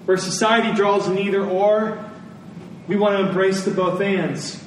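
A man's voice: high-pitched, steady tone, unhurried. A man preaching a sermon as a pastor, earnest and emphatic, repeating key phrases. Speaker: pastor preaching to his church. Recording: roomy, distant mic, faint noise.

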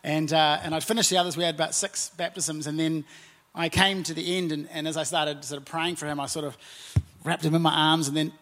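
A New Zealand pastor in his forties gives a chatty sermon from the stage of a church. His voice sits a little high for a man, and he fills his phrases with easy "and, uh" pauses. He talks to the congregation as if in conversation. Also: flat delivery. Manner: warm, conversational preaching with frequent "and, uh" fillers. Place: church auditorium.

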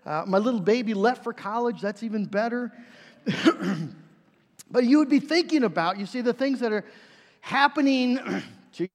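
Man, preacher, high voice, chatty sermon with fillers, echoing hall. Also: moderate pace. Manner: conversational preaching with frequent fillers and restarts. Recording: reverberant hall.

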